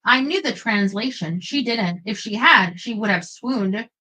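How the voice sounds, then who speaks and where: A woman reading a biography aloud in a measured, expressive storytelling manner. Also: medium pitch, rough recording. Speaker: narrator.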